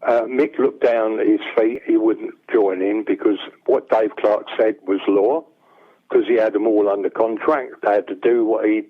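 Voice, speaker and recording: An older man with a bass-baritone voice, telling rambling stories as a songwriter guest. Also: fairly even intonation, normal speed. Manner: rambling anecdotes, acts out quoted dialogue. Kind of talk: casual storytelling. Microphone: telephone. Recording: tinny phone line.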